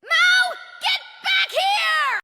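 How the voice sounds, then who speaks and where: A woman's voice: extremely high pitch, extremely slow, vocal fry, pushed and bright, shouting, faint music behind, a faint crowd, exaggerated voice. A woman voicing an aggressive, bossy teenage tsundere girl for a comic dub. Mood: shouting. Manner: bossy, indignant.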